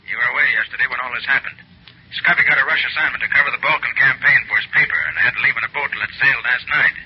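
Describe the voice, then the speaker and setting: An actor playing a confident, shrewd private detective in 1940s radio drama style, brisk and self-assured. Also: male voice, medium pitch, natural voice. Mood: brisk, friendly. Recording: muffled, noisy old radio broadcast.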